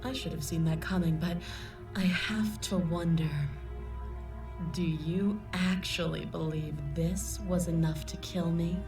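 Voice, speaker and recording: A woman voicing a cold, arrogant princess in a game who speaks with slow, mocking, menacing calm. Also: fairly low pitch. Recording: game audio from far, music behind.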